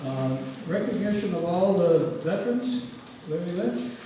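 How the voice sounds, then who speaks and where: A man with a fairly high voice hosts a ceremony in a formal but halting way. He is far from the mic in an echoey hall with loud noise. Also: expressive. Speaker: master of ceremonies. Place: large echoey indoor hall.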